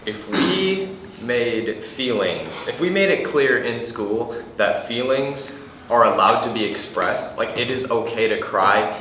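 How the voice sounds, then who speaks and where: A man speaking earnestly as an actor in a group discussion, haltingly, repeating words and restarting. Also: fairly low pitch, a bit bright. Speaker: actor at a table read. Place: indoor room with a group. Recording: distant, very echoey room, noisy.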